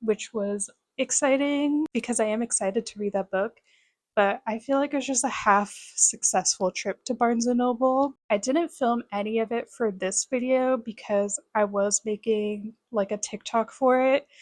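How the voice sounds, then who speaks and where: A woman's voice: a little high, normal speed, no room sound. A young woman chatting casually as a book vlogger, rambling with lots of fillers. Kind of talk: casual chat to camera.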